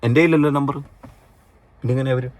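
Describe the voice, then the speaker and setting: A man's voice: medium pitch, fairly even intonation, fast, no echo. A man with a Kerala accent giving casual, mocking reaction commentary and reading comments out theatrically. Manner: mocking, sarcastic, reads comments aloud theatrically. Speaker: online commentary host.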